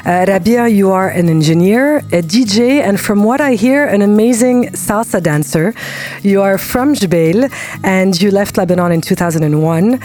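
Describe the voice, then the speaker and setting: A woman hosting a radio interview, asking measured, scripted questions. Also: mid-range voice, animated, warm tone. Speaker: radio show host.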